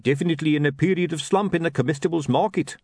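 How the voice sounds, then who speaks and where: A man's voice: fairly high pitch, fast talker, a little mellow, slightly rough, dry recording, clean studio sound, voice pushed up. A man voicing a gloomy, down-on-his-luck sausage hawker in an audiobook, with colloquial, lamenting salesman's patter. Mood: gloomy hawking. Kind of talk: audiobook character voice.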